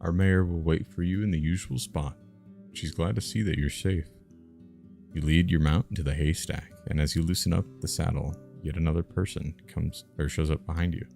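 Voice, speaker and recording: Very deep, gravelly man reading aloud. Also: fairly even intonation, normal speed, darkish tone, soft background music. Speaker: streamer reading a visual novel aloud. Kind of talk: casual live reading of game narration with banter.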